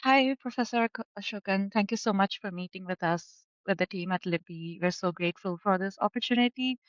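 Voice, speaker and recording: A woman's voice: mid-range voice, brisk, dry recording, highs cut off. A young adult woman hosting an interview in a friendly, informal way over a video call. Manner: friendly, informal, with filler words. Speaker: interviewer, podcast host. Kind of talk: casual interview.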